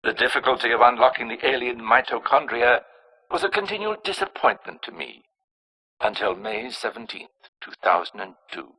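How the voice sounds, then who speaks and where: An actor playing a cold, arrogant scientist in a video game, narrating with clinical precision in a raspy, hard-edged voice. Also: male voice, mid-range voice, lively intonation, normal speed, sharp tone, full character voice, poor audio. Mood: proud. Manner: clinical, precise, self-important. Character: cold, arrogant male scientist engineering plagues and alien pathogens.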